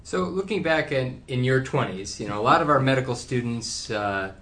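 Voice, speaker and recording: A man's voice: medium pitch, animated, moderate pace, a bit bright. A man hosting a casual interview, asking questions in an informal way. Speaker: host, interviewer.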